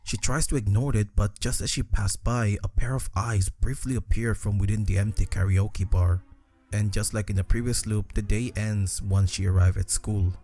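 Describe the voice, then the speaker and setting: Mellow, low-voiced male narrator. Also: steady tone, normal speed, no room sound. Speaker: video essay narrator. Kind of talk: scripted horror game story narration.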